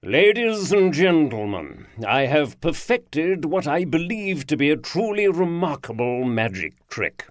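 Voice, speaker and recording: A man voicing a pompous aristocratic lord in a storybook, with a rich baritone, an aristocratic drawl and slow, grand, theatrical delivery. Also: sing-song, dry recording, clean studio sound.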